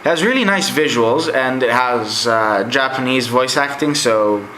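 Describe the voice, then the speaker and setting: Young adult man, native Greek speaker, reviews games in a chatty ramble; bright voice, roomy echo. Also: medium pitch, measured pace, a little hiss or hum. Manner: rambling and chatty, with many fillers. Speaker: game collector vlogger. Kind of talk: casual game collection review. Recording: echoey room.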